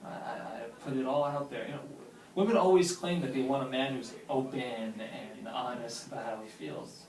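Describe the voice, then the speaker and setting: An actor playing a lovesick, wounded man with a sarcastic edge, halting and emphatic in a naturalistic drama read-through. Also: mid-range voice, a little mellow, lightly acted. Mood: wounded, earnest. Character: lovesick, wounded, sarcastic man confessing love to his friend. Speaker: actor at a script table read. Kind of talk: naturalistic film drama dialogue read aloud. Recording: roomy echo, distant mic, faint noise.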